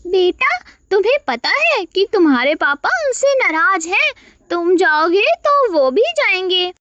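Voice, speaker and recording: A cunning vixen from a children's cartoon, played by a woman. She speaks very high and swoops animatedly, coaxing like a sweet auntie while she schemes. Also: very animated, a little slow, a bit bright, highs cut off, full character voice. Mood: sly, coaxing. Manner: coaxing, sly. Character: cunning scheming female fox, sweet-talking auntie to cubs.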